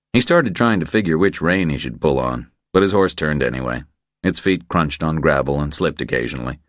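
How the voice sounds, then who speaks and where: A man with an exceptionally low, deep voice narrates a thriller audiobook. His reading is measured and deliberate, and the recording is dry and close. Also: no echo. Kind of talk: scripted narration of crime thriller.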